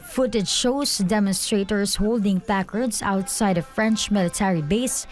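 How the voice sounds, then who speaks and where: A woman's voice: medium pitch, a little slow. A woman reading a scripted news report in the role of reporter.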